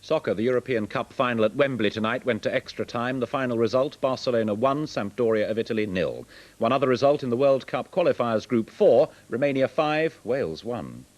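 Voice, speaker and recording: Mid-pitched male newsreader, slightly dull sound. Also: lively intonation, fairly quick. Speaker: television newsreader. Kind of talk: scripted sports results reading.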